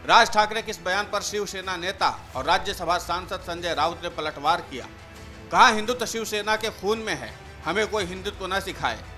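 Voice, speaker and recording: A man's voice: high voice, pushed and bright. A man presenting scripted news narration and political-style analysis as a news presenter. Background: background music.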